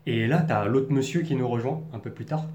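A man's voice: mid-range voice, a little fast, darkish tone. A young man from the Paris region telling a personal story to camera in an earnest, reflective way, searching for his words. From France, Paris region. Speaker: vlogger. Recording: echoey room.

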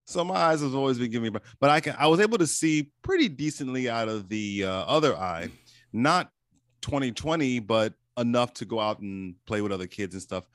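A man's voice: medium pitch, expressive, fairly quick, dry recording. Brief: man in his 40s, candid and laid-back, close dry recording. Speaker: conversation partner. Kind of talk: casual chat.

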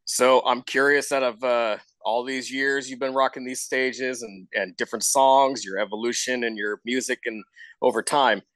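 A man's voice: medium pitch, fairly even intonation, normal speed, a bit bright, no echo. A man hosting a casual interview, informal and encouraging as he talks. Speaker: show host, interviewer.

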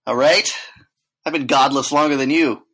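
A man's voice: mid-range voice, moderate pace, a bit bright, husky. A man co-hosting a casual chat, telling rambling travel stories with lots of fillers and acted-out quotes. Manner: rambling storyteller, acts out quotes, many fillers.

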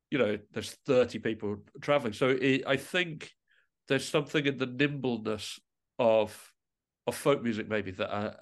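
A man gives a thoughtful, conversational interview as a musician and composer. He talks reflectively at a middling pitch, often pausing mid-thought and rephrasing as he works out what he means.

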